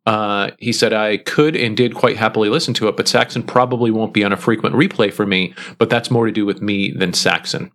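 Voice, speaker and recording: A man co-hosting a podcast, reading listener comments aloud in a relaxed way with many pauses. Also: on the low side.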